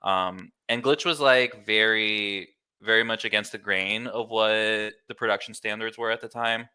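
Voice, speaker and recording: An American man in his thirties with a slightly low, bright voice explains things as a guest in a casual interview. His delivery is clear, informal and easygoing. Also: steady tone, unhurried. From Miami, United States. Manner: easygoing, informal, filler-heavy with "like" and "kinda". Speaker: interview guest, glitch artist.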